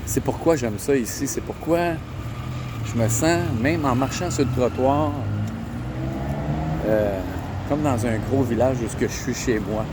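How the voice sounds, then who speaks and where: A man's voice: medium pitch, a little slow. A man with a Quebec French accent, chatting casually to his camera while walking through city streets at night. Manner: chatty, points things out to viewers while walking. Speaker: travel vlogger. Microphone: handheld camera. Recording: outdoor street noise behind the voice. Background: traffic and street noise.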